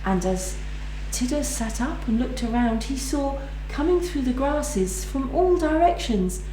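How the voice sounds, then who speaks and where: A woman telling a folk tale aloud in a measured way, with dramatic pauses and emphasis. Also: high voice, expressive, mellow. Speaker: storyteller. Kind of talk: live oral folk tale storytelling. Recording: roomy echo with background noise.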